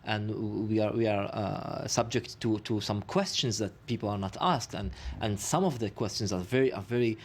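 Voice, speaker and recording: A man speaking as a studio guest, talking haltingly with many restarts and fillers. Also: fairly low pitch, slightly rough. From Israel. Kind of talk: studio discussion.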